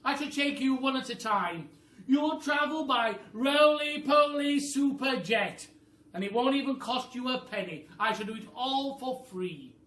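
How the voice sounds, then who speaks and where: A man voicing a clever, boastful and reassuring big bird in a children's story, with an emphatic, drawn-out delivery. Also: extremely high pitch, expressive, a bit bright, pitched above the performer's own voice, exaggerated voice. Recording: roomy, noisy, distant microphone.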